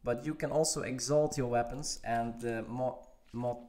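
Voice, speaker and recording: A man chats casually with viewers during a game livestream. His voice sits at an ordinary middle pitch. He talks loosely and stops often with fillers as he checks things in the game. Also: fairly even intonation, moderate pace, a little mellow. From Netherlands. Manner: answers chat questions while playing, hesitant with many fillers. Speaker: game streamer.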